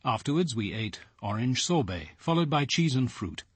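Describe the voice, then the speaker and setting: Husky, expressive male diarist. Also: medium pitch, no room sound. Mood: reflective, appreciative. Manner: formal, fastidious, expressive. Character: precise, cultured male diarist recounting events. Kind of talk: radio drama scripted narration.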